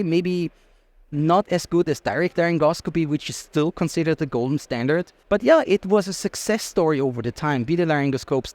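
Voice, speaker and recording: A man's voice: on the high side, darkish tone, no echo. A man from Austria with an Austrian accent, a native German speaker, explaining things emphatically as an interview guest. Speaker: interview guest, anesthesiologist and researcher. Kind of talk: conversational expert interview.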